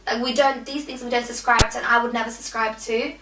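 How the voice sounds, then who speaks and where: A woman's voice: a little high, steady tone, quick pace, roomy sound, far from the mic, background noise. A young woman from Nepal with a British accent, talking in a casual podcast chat and rambling with lots of fillers. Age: young adult. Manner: rambling, many fillers and restarts. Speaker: podcast co-host.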